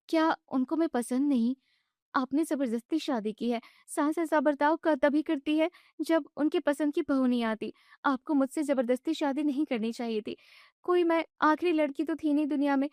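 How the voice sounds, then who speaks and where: A woman's voice: sits high, a little mellow, no echo, studio quality, voice pushed up, close to an everyday voice. A woman voicing an earnest young daughter-in-law who talks plaintively in a family story. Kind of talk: Hindi family story acting.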